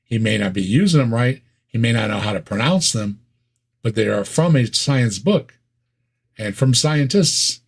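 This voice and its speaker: A man's voice: medium pitch, animated, moderate pace, vocal fry, husky. A man with a Midwestern American accent, co-hosting a casual reaction chat with wry, sarcastic asides and frequent laughs. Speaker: co-host reacting to videos.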